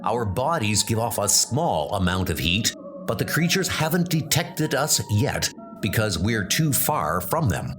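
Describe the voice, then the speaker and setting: A man's voice: on the low side, very animated, unhurried. A man playing a brave adult armored knight, speaking in an earnest, rallying way. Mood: urgent. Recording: music playing underneath.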